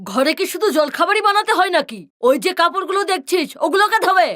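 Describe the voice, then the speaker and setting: A woman's voice: extremely high pitch, animated, quick pace, fairly bright tone, no echo, clean studio sound. A woman voicing a spiteful, haughty cartoon woman who mocks and scolds her relative. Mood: scolding. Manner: mocking, scornful, scolding. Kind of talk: cartoon story dialogue.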